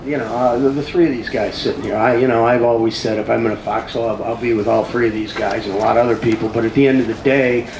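A man's voice: mid-range voice, fairly even intonation, fast, hardly any pauses. A middle-aged man, a plain-spoken, emphatic football coach answering at a press conference into a handheld mic. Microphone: handheld microphone. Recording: roomy tent sound with clear noise.

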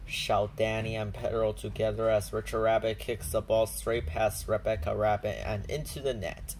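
A woman narrating a children's storybook aloud in a deliberate, simple storytelling manner. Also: a bass voice, flat delivery, a little mellow.

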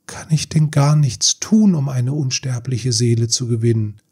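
A man voicing a young mermaid girl in a fairy tale, earnest, yearning and sorrowful, with a breathy voice. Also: medium pitch, a little slow. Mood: sad, yearning. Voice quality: breathy, soft-edged. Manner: earnest, pleading questions.

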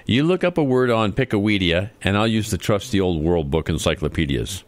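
A man's voice: deep voice, expressive, a little fast, dry recording. A man acting as a stubborn, well-meaning, old-fashioned dad in a family radio comedy.

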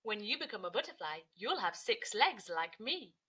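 A woman voicing a lively, encouraging grasshopper in a children's storybook, emphatic and reassuring. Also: mid-range voice, moderate pace, husky, exaggerated voice. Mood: excited.

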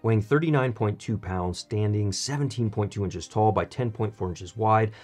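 Male reviewer, slightly low voice, scripted narration for video reviews. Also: moderate pace, darkish tone. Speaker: product reviewer and channel host.

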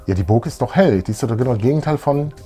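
Casting: male co-host, thirties, medium pitch, informal fan talk over faint music. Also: animated, very fast, mellow. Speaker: co-host of retro fan show. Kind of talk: casual fan chat. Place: small room full of collectibles, indoors.

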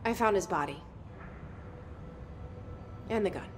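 Actress as a guarded young woman, unhurried inner voice, game cutscene. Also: mid-range voice, lively intonation, slight background noise, lightly acted. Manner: quiet, hushed inner monologue. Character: guarded, wry young woman, the new director. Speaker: game protagonist. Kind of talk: video game cutscene acting with inner monologue.

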